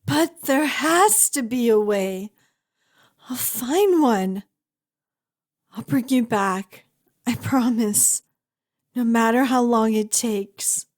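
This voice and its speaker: Woman acting a high-voiced, tender fantasy huntress. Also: lively intonation, slow pace, pauses often. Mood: earnest, reassuring. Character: fierce but tender young huntress reunited with her lost friend. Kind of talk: ASMR fantasy roleplay, scripted monologue.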